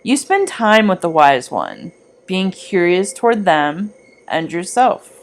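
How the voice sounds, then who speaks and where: A woman reading a scripted guided meditation in a measured, gently instructing manner. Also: on the low side, huge pitch swings.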